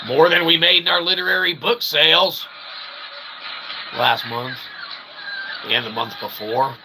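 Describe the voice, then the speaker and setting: Theatrical rambling man, creaky voice, noisy recording. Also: on the high side, a little slow. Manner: theatrical, mock-formal wording with frequent check-in tags. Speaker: livestream host and self-published writer. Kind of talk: rambling comedic philosophical monologue to an audience. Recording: clearly noisy recording with background ambience.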